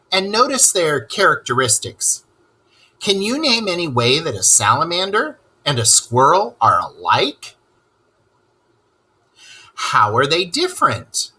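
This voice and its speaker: A man's voice: on the high side, sharp tone, breathy edge, full character voice, rough recording. A man voicing a cheerful male rat who is an animal expert and world traveler, teaching children and asking them questions. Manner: enthusiastic, asks the listener questions. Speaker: read-aloud teacher. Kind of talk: children's lesson read-aloud.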